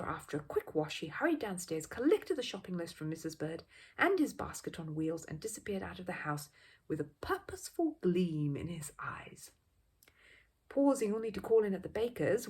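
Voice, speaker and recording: A woman narrates a children's storybook with very lively swings in pitch. Her voice sits fairly low and has a husky edge, and her reading is expressive throughout.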